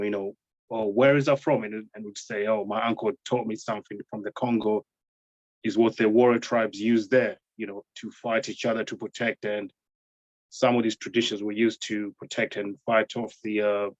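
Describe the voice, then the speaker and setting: A man's voice: lowish voice, no echo, lo-fi sound. A man from Tanzania, a guest introducing himself over a video call, talking modestly with many fillers. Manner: soft-spoken, many fillers like um and you know. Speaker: interview guest. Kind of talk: humble, measured self-introduction.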